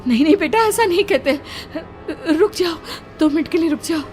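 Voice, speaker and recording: A woman's voice: extremely high pitch, expressive, a little fast, darkish tone, soft background music, pitched above the performer's own voice. A woman voicing a frightened, pleading mother in a melodramatic horror cartoon. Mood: anxious soothing. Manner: pleading, panicky, tearful. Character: terrified pleading village mother protecting her young son.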